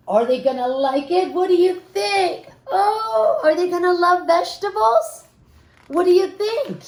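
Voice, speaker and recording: A woman reading a children's story aloud expressively, with dramatic pauses and questions to her young listeners. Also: very high-pitched, lively intonation, a little mellow. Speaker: storybook reader. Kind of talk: animated children's read-aloud with asides to listeners. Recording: roomy, echoing room sound.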